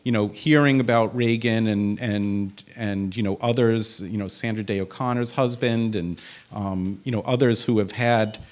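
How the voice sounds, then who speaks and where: A man's voice: on the low side, fairly even intonation, normal speed. Man lecturing with a handheld mic. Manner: conversational, many fillers and restarts. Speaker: physician giving a public talk. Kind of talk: public lecture with audience questions. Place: community center meeting room. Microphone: handheld microphone.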